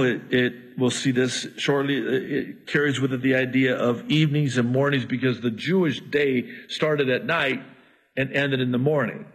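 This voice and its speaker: A middle-aged man whose first language is Arabic, preaching through scripture with lively asides. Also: fairly high pitch, husky, low-quality recording. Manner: animated, jumping from scripture reading to asides. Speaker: pastor. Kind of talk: expository sermon.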